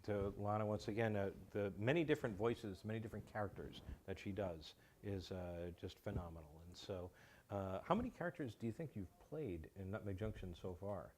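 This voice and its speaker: Low-voiced man, halting and courteous. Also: a little mellow, slight background noise. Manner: halting, repeats words, courteous. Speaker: guest, radio show writer. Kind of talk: casual interview chat. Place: community television studio.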